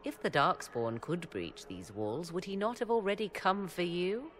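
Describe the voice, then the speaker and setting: An actress voicing a haughty, knowing fantasy game sorceress who speaks in deliberate, formal, archaic phrases. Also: female voice, lowish voice, lively intonation, close to an everyday voice. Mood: haughty, cryptic.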